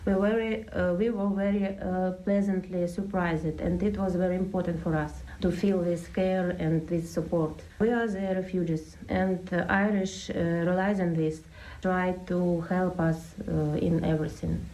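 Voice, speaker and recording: A woman with a mellow, warm tone speaks earnestly, pausing often with fillers. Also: lowish voice, steady tone, poor audio. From Ukraine. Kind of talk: interview testimony.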